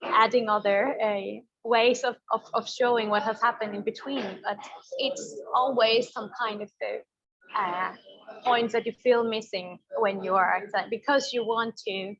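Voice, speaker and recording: A woman's voice: a little high, steady tone, measured pace, a bit bright. A woman documentary filmmaker answering thoughtfully in a festival interview, often searching for words. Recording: dull lo-fi audio.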